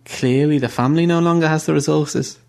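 A man's voice: a little high, steady tone, brisk, creaky, a little mellow, pitched above the performer's own voice. A man voicing a crude, scornful male soldier who speaks bluntly and with contempt.

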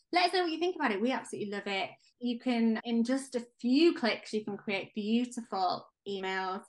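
A woman co-hosting a podcast in casual, enthusiastic chat, her voice going hoarse as she is losing it. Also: on the high side, fairly bright tone. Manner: enthusiastic, chatty. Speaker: podcast co-host.

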